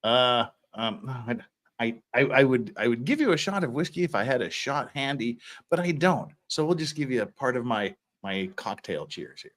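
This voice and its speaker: A man's voice: medium pitch, animated, moderate pace, fairly bright tone. A man in his fifties hosting a livestream, chatting casually and reading out viewer comments in a rambling, jokey, giggly way. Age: 50s. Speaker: livestream host.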